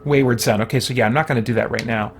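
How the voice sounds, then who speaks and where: A man's voice: mid-range voice, fairly even intonation, rapid-fire, over music. A man giving casual gameplay commentary as a streamer, musing to himself as he goes. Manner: thinking aloud, musing to himself. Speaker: game streamer.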